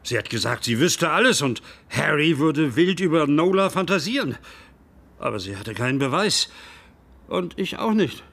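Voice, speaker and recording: A man acting in a radio drama as an older, guilt-ridden small-town police chief, halting and agitated. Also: a little high, big pitch swings, creaky, a bit bright, heavily acted. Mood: evasive. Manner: halting, agitated, breaking into pleading.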